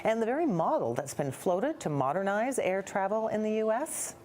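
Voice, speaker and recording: A woman's voice: medium pitch, sing-song, moderate pace, darkish tone, slight background noise. A woman with a Canadian accent, hosting a broadcast news interview. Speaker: TV news host.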